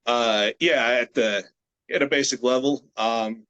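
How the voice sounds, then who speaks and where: A man in his late thirties, a grip strength athlete from the United States, chatting as a guest on a video call in a relaxed, rambling way with many fillers. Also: mid-range voice, measured pace, dull audio. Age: 30s. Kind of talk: casual interview chat.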